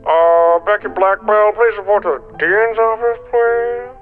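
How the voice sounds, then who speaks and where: An adult plays an awkward school announcer in an anime parody dub. The voice is halting and exasperated, squeezed through a tinny PA, with faint music. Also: medium pitch, steady tone. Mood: awkward, formal. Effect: public address system.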